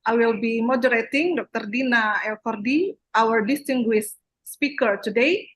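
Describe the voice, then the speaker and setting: A woman's voice: on the high side, moderate pace, low-quality recording. A woman moderates a seminar session, formally reading out a speaker's introduction. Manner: formal, reads a prepared introduction.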